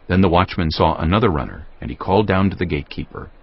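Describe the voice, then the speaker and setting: A man's voice: sits low, expressive, quick pace, no room sound. A man narrating a dramatized audio Bible as a scripted story. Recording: dull lo-fi audio.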